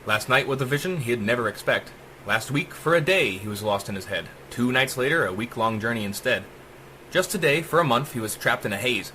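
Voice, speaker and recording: A man narrating a dark rhyming story as a dramatic audiobook, with theatrical, emphatic rhythm. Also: mid-range voice, lively intonation, fairly quick, slight background noise.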